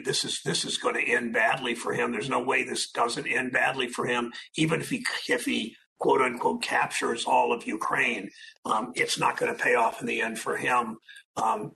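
A man's voice: mid-range voice, vocal fry, bright, forceful tone, slight background noise, rough recording. A man giving a blunt interview soundbite, repeating himself with hesitant fillers.

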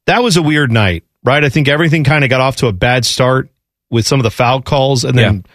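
A man's voice: mid-range voice, brisk, no echo. A man co-hosting a sports radio show, joking and rambling with eager restarts at a studio microphone. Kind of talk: morning radio banter.

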